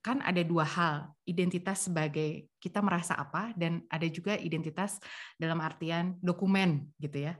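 A woman's voice: lowish voice, steady tone, fairly quick. A woman hosting a podcast, introducing her guest with playful teasing and laughter. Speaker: host. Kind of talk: casual chat.

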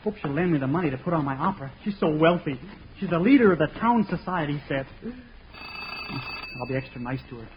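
High-voiced eager young man played by an actor. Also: lively intonation, fairly quick, close to an everyday voice. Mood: musing. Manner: exclaiming, easily baffled straight-faced questioning. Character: eager, naive young opera writer, flattering and flustered. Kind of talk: 1940s radio comedy acting. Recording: dull, faintly noisy old radio broadcast.

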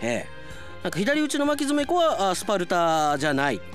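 Lively, chatty male radio host. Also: sits high, moderate pace, soft background music. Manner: chatty, addresses listeners directly. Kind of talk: casual hosting talk to listeners.

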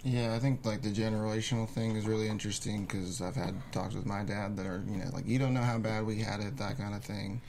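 A man's voice: lowish voice, level tone, fast, vocal fry, hardly any pauses, a little mellow. A man speaking casually and conversationally as an actor on a discussion panel. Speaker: actor on a table-read panel. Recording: background noise, room ambience.

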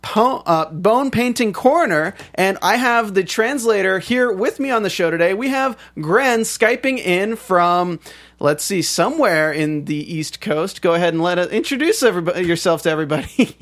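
A man's voice: sits high, expressive, normal speed, fairly bright tone. A man hosting a podcast interview, talking casually with many fillers and restarts. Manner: chatty, with frequent fillers and restarts. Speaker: podcast host.

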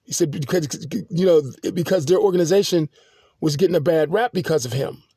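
A man hosting a podcast, telling a long personal story in a halting, rambling monologue full of restarts and fillers. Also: a little high, dark tone, breathy edge, dry recording, clean studio sound. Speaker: podcast host.

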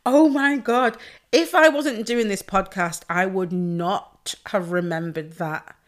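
A woman hosting her own podcast alone, hoarse and losing her voice, chatting casually, swearing freely and laughing often. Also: mid-range voice, takes it slowly. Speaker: podcast host. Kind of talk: casual solo podcast chat.

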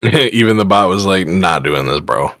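A man's voice: low voice, expressive, moderate pace, fairly bright tone, no room sound, clean studio sound. A man co-hosting a podcast in casual chat, joking and laughing. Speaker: podcast co-host.

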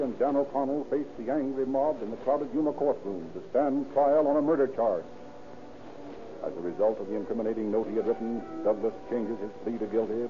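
Man, medium pitch, formal old-time radio announcer, declamatory, narrow hissy recording. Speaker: narrator and sponsor announcer. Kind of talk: 1930s radio narration and advertising.

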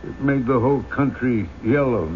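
An actor playing a poor, bewildered man with no memory on trial in a radio drama, halting and stammering. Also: mid-range voice, slight background noise, lightly acted. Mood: confused, halting. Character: bewildered, humble, poor amnesiac vagrant on trial. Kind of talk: old-time radio drama acting. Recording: tinny old radio recording.

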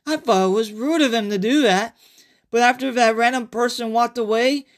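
Chatty horror-tale survivor narrator, male reader: high pitched, emphatic, animated first-person storytelling. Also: normal speed. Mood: animated, foreboding.

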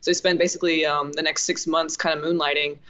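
A woman's voice: deep voice, level tone, fast, pushed and bright, highs cut off. A woman film director as an interview guest, talking candidly and casually, swearing now and then and restarting sentences.

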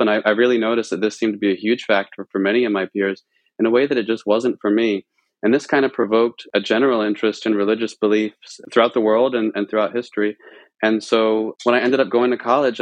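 A man's voice: fairly low pitch, no room sound, low-quality recording. An American man from central Pennsylvania answering as a podcast guest, talking informally and at length, often correcting himself as he goes. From central Pennsylvania, United States. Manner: informal, discursive, self-correcting. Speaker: podcast guest, religion researcher. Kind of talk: conversational interview answers.

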